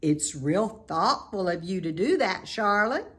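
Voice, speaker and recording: A wise spider speaks in a sweet, steady voice that turns firm and brisk, as a woman reads her. Her lines come slowly with a lively, melodic rise and fall in a storybook. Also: medium pitch, lightly acted.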